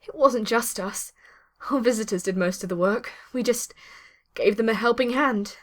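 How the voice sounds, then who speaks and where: A woman's voice: a little high, moderate pace, close to an everyday voice. A woman playing an earnest, kind and plucky young survivor in an audio drama. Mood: warm, modest.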